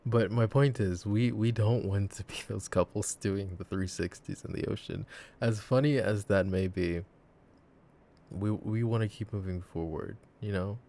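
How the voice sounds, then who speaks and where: Casting: male actor as doting boyfriend for roleplay ASMR, deep register with fry, earnest, playful, rambling delivery. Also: steady tone, dark tone. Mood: apologetic, amused. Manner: rambling, giggly, tender, halting. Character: devoted, silly, affectionate boyfriend, earnest and reassuring.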